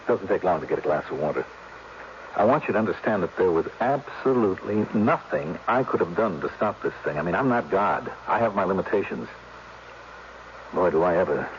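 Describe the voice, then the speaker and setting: A deep-voiced man plays a tired, self-doubting cop in a muffled 1970s drama recording with faint hiss. He is earnest and defensive. He keeps correcting and justifying himself. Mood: weary, self-justifying. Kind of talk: 1970s radio drama acting. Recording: muffled, noisy old radio recording.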